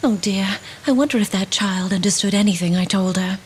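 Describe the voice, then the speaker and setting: A woman's voice: mid-range voice, brisk, a little hiss or hum, natural voice. A woman playing a regal, indulgent fairy queen and mother in a fantasy game, formal and stately. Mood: entrusting, concerned. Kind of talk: fantasy video game voice acting.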